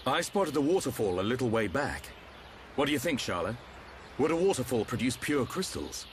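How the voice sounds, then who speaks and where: A man's voice: medium pitch, big pitch swings, normal speed, slight background noise, lightly acted. A man playing a level-headed, practical soldier in a video game, speaking decisively and matter-of-factly. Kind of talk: video game cutscene acting.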